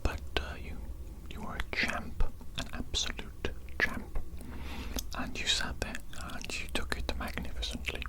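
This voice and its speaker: Whispering evil doctor, played by a woman. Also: natural voice. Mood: soothing, mock-kind. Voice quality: breathy unvoiced whisper. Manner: deliberate, repeats phrases, mock-soothing. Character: smug condescending evil mad doctor keeping a prisoner. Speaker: ASMR roleplay performer. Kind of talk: whispered ASMR roleplay.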